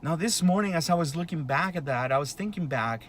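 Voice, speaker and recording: A man's voice: on the high side, animated, fairly quick, slight background noise. A man in his late thirties talking in a casual, rambling monologue, with lots of fillers and restarts. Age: 30s. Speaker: vlogger.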